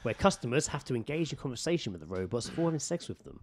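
A man's voice: medium pitch, very animated, rapid-fire, a little hiss or hum. A British man co-hosting a podcast, casually reading out odd news with jokey, sweary asides and ready laughter. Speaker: podcast co-host.

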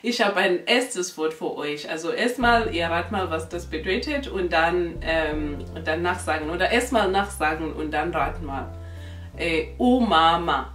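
A woman's voice: fairly low pitch, animated, a bit bright, distant mic. A young woman from Zimbabwe whose first language is isiNdebele, cheerfully teaching words in a casual chat on a couch. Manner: slow, searching for words, cheerful. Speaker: vlogger teaching her language. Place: indoor room, on a couch. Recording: roomy, off-mic, faint music.

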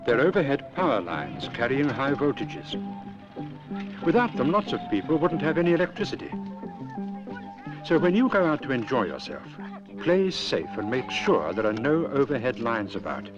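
A man's voice: medium pitch, wildly swooping pitch, moderate pace. A British man voicing a wise, stern cartoon owl who lectures emphatically about safety in a 1970s public information film. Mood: earnest lecturing. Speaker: safety instructor. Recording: dull old film soundtrack with music.

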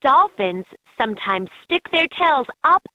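A woman voicing an encouraging guide in a children's educational game, narrating in a clearly enunciated, instructive way. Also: high voice, sing-song, a little slow, no echo. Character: encouraging female guide in a kids' animal learning game. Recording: muffled lo-fi handheld game audio clips.

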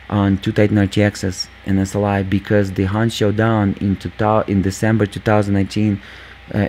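A man hosting a gaming channel, talking casually over gameplay with a squeaky voice, lots of fillers, and calling viewers "guys". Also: darkish tone, a little hiss or hum. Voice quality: squeaky, just-woken voice. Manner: rambling, many fillers, addresses viewers as guys.

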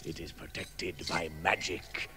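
An actor playing a proud old dwarf leader in a radio drama, declaiming solemnly and commandingly. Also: male voice, low-pitched, steady tone, measured pace, over music. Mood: solemn, gracious. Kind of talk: British radio drama acting.

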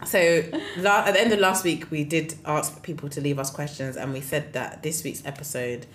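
A young woman co-hosting a podcast in casual chat, dry and low-key, often trailing off. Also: steady tone, normal speed. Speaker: podcast co-host. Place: podcast studio, indoors. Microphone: studio mic on arm. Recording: echoey room, faint hiss.

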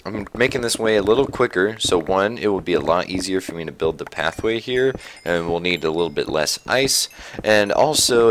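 A young man with a slightly raspy voice, chatting casually through his Minecraft survival gameplay with plenty of fillers. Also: fairly low pitch, fairly bright tone, a little hiss or hum. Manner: chatty, rambling, many fillers. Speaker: Minecraft YouTuber.

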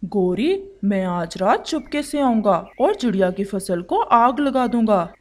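A woman's voice: medium pitch, sing-song, unhurried, a little mellow, pitched below the performer's own voice. A woman voicing a scheming, grumbling male crow in a children's cartoon, with a conspiratorial manner.

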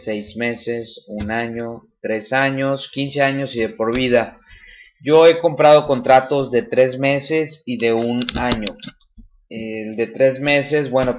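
A man talks through a screen recording in a casual, halting way, full of fillers and restarts. His voice sits at a middle pitch, and the home recording sounds dull and lo-fi. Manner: explains step by step with many fillers and restarts. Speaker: channel host demonstrating a website.

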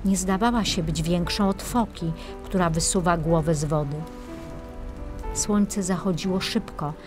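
Low-voiced woman narrating a fairy tale. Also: a little slow. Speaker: main narrator of a children's audiobook. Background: music.

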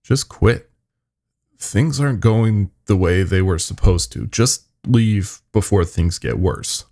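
A man's voice: low-pitched, animated, slow, creaky, a little mellow, exaggerated voice. A man voicing a nervous young male intern with secrets in an audio horror story, urgent and blunt. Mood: urgent warning.

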